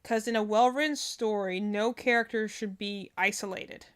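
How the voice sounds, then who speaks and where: A woman's voice: medium pitch, steady tone, normal speed, fairly bright tone, clear, resonant tone. A woman giving casual reaction commentary as a streamer, rambling and often searching for her words. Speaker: streamer reacting to game character trailers.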